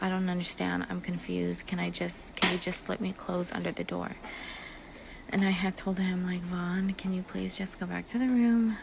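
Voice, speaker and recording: A woman recounts personal events in a loose, chatty way, voicing bits of dialogue as she goes. Her voice sits a little low, with plenty of vocal fry and a fairly even melody. The amateur recording has clear ambient noise behind her. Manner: filler-heavy, conversational, quotes dialogue as she retells. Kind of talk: casual personal storytelling, like a voice message. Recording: noisy ambient background, amateur voice clip.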